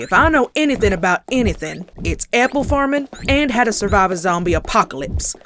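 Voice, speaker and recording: A woman's voice: fairly low pitch, a little hiss or hum, natural voice. A woman voicing a tough, practical female cartoon farm pony with a Southern US accent and blunt, folksy manner. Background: music.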